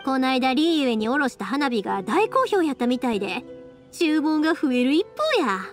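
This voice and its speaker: Casting: bustling young village woman, Kansai accent, high animated voice, breezy and caring, anime game. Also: a little fast. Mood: upbeat. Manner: breezy, familiar, reassuring. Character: bright young fireworks maker, caring, bustling village girl. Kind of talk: Japanese anime game voice acting. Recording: soft music behind.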